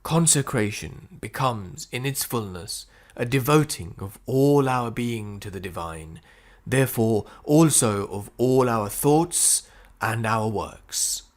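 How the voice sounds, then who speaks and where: A man reading spiritual prose as an audiobook narrator, phrasing it deliberately with frequent pauses. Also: medium pitch, animated. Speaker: audiobook reader.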